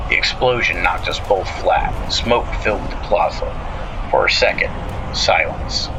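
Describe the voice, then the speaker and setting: A man's voice: low-pitched, gravelly, lo-fi sound, noisy background. A man narrating a scripted horror story as a show host, dramatically, in short clipped phrases. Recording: music bed under the voice. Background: music.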